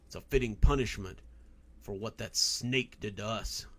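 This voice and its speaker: A man voicing an angry, bitter man at a bar, gruff and insistent, in a storybook reading. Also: on the low side, moderate pace, fairly bright tone.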